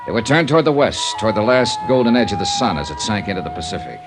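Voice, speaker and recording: An American actor playing a hardboiled, sardonic insurance investigator in a 1950s radio drama, speaking in a clipped, wry way. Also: male voice, fairly low pitch, big pitch swings, fast, music in the background. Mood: reflective narration. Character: hardboiled wry male insurance investigator, narrating his cases. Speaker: lead actor and narrator. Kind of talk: 1950s radio detective acting. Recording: noisy old radio recording.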